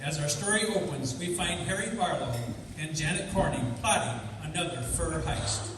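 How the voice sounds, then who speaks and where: A man's voice: fairly high pitch, lively intonation, moderate pace, close to an everyday voice. A man playing the dramatic announcer of an old-time radio mystery serial, reading scripted narration. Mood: dramatic, announcing. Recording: echoey hall, distant mic, loud music. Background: loud music.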